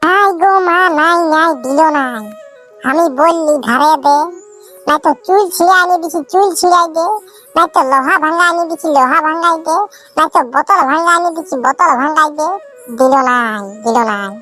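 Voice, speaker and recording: A man's voice: very high voice, pushed and bright. A man voicing a demanding, wheedling young boy in a comedy cartoon, with a Purulia Bengali accent. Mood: whiny pleading. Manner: wheedling, insistent, repetitive. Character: whiny, demanding young boy begging and threatening tantrums.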